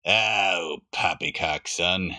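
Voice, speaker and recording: A man's voice: low-pitched, very slow, bright, forceful tone, pitched below the performer's own voice, full character voice. A man voicing a confident, blustery, old-fashioned father with a pompous, matter-of-fact manner for a children's storybook.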